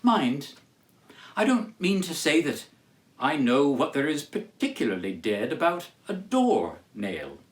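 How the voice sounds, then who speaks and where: A man giving a formal, declamatory literary reading as a storyteller, with deliberate phrasing and dramatic pauses. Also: on the high side, huge pitch swings. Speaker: storyteller reading as Charles Dickens. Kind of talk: theatrical literary reading with introduction.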